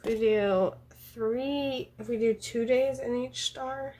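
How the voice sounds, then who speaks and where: A woman with a froggy, hoarse voice chatting casually as she decorates a planner, thinking aloud and counting under her breath. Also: fairly high pitch, steady tone, slow pace, dark tone, slight background noise, rough recording. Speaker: planner vlogger. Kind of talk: casual talk while drawing a journal spread.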